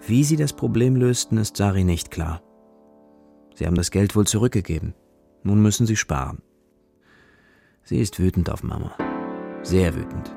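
Male narrator for a children's audio story, gravelly, lively intonation, dramatic short phrases, guitar music underneath. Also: on the low side, normal speed, long pauses.

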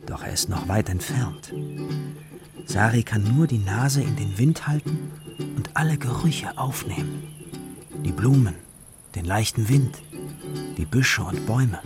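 A man narrating a children's radio play as its storyteller, with guitar music behind him. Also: on the low side. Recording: loud guitar music under the voice. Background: plucked guitar music.

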